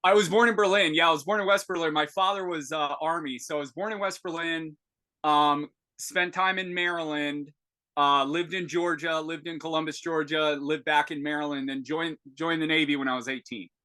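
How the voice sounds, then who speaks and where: Guest on a call, male, forties, bright tone, hesitant and filler-heavy. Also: on the high side, fairly even intonation. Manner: halting, many fillers and restarts. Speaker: podcast guest. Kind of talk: casual video-call interview. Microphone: video call.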